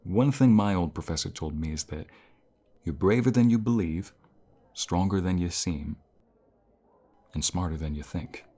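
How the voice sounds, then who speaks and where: A man playing a caring university professor in an ASMR roleplay, speaking in a calm, reassuring and gentle manner. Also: low-pitched, a little slow, long pauses. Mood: caring, encouraging. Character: caring male university professor comforting a burnt-out student. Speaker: voice actor.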